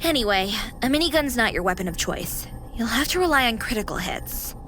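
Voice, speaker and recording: High-pitched, perky teasing AI companion. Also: female voice, lively intonation, a little fast, a bit bright. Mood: dry, teasing. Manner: coaching, dryly teasing. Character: perky, teasing female AI companion with anime-girl look. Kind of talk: video game voice acting. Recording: music behind the voice.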